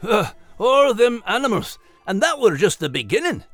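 A man's voice: sits high, very animated, moderate pace, a bit bright, faint music behind, exaggerated voice. A man voicing a folksy, curious animal podcast host, in scripted banter.

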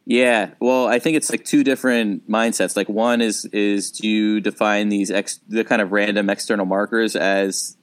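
A man's voice: lowish voice, fairly even intonation, normal speed, few pauses. A man in his thirties, a comedian co-hosting a podcast, talking casually and thinking aloud with frequent restarts.

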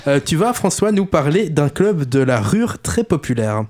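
Radio host guy, kinda high voice, laughs a lot, lots of euh. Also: big pitch swings, moderate pace, a little mellow. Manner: laughing, joking, hesitant with many fillers. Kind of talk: casual radio show hosting.

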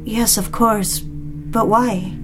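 A woman's voice: mid-range voice, fairly even intonation, drawn-out words, over music, rough recording. An actress playing an anxious, lovelorn woman with wounded pride in a period melodrama, genteel and dramatic. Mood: anxious, pleading. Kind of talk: period melodrama acting with first-person narration.

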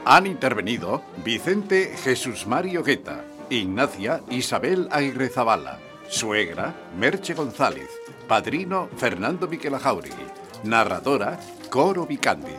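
Lively male announcer over loud music. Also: mid-range voice, measured pace. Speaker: programme announcer. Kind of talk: scripted radio credits.

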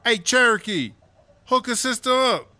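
A man's voice: very high voice, animated, unhurried, bright, forceful tone, soft background music, exaggerated voice. A man playing a brash, boastful big woman rapper in a prison comedy audio drama, with slangy, sassy swagger.

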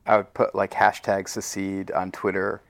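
A man's voice: sits low, monotone, normal speed, creaky, fairly bright tone. A man being interviewed as an audio drama creator, talking casually in a wry, self-deprecating way. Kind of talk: casual conversation.

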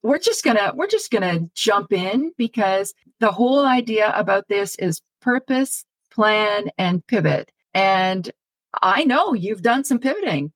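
A woman's voice: mid-range voice, big pitch swings, a little slow, dry recording, low-quality recording. A woman hosting a podcast interview, introducing her guest and asking questions with enthusiastic, effusive delight. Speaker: podcast host.

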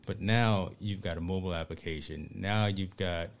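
A man's voice: sits low, normal speed, a little hiss or hum. A man hosting an interview podcast, talking in an enthusiastic, casual way and often repeating words as he goes. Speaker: podcast host.

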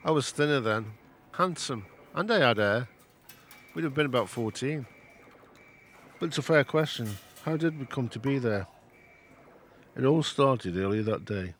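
Wry middle-aged Stoke narrator. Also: male voice, mid-range voice, lively intonation, a little slow, pauses often, a bit bright. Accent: Stoke-on-Trent. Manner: wry looking back.

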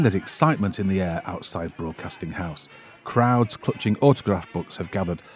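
A man's voice: fairly low pitch, darkish tone. A man delivering scripted narration as the presenter of a film synopsis.

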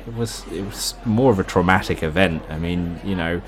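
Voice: sits low, normal speed, voice pushed down, lightly acted. A performer playing an awkward, reluctant young man on a bad date, hesitant and mumbling.

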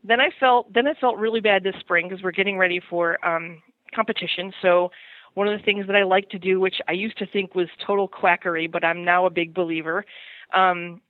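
A woman's voice: fairly low pitch, fairly even intonation, fairly quick, dry recording. Middle-aged woman on a thin phone line. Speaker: podcast segment guest on horse parenting. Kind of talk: casual chat, personal anecdotes.

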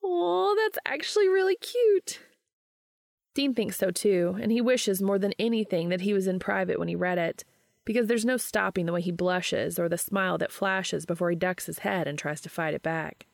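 A woman narrating fiction as an audiobook reader, in an expressive storytelling style. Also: lowish voice, fairly quick, gravelly, no room sound, studio quality.

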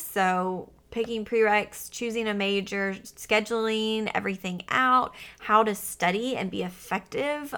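A woman's voice: medium pitch, gravelly, a bit bright. A woman hosting a podcast, talking in a casual, upbeat and chatty conversational way. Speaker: podcast host and pre-PA advisor.

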